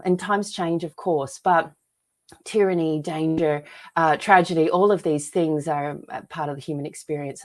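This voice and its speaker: A woman with an Australian accent, speaking earnestly as a guest author in an interview, with frequent restarts and fillers. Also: low-pitched. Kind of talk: interview conversation.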